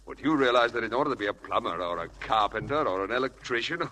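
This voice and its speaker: Glib, animated charmer husband, old radio. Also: male voice, mid-range voice, quick pace. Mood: flippant, evasive. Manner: flippant, glib, coaxing. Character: charming, feckless spendthrift husband, breezy and evasive. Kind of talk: 1940s radio drama acting. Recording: hissy old radio broadcast.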